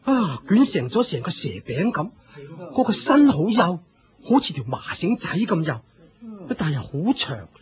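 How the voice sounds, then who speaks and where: An actor playing a proud, jealous fire-sect leader in a Cantonese radio drama, with a pompous, theatrical manner and a Hong Kong accent. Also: male voice, sits high, big pitch swings, measured pace, crowd noise. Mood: startled. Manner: pompous, theatrical, shifting from courteous to scornful. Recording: dull lo-fi radio drama recording.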